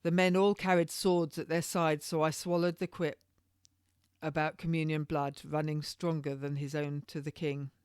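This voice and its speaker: A woman's voice: deep voice, little pitch movement, normal speed, a little mellow, smooth, no room sound. Woman reading a story, dry recording. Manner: informal amateur reading with occasional stumbles. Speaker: author reading own novel. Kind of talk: scripted first-person storytelling.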